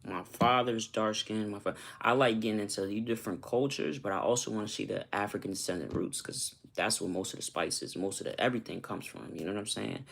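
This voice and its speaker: A man's voice: on the low side, a little fast, vocal fry, hardly any pauses, a bit bright. A man from Costa Rica, a YouTuber, chatting loosely with his viewers and often asking "you know what I'm saying". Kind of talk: casual livestream chat with viewers.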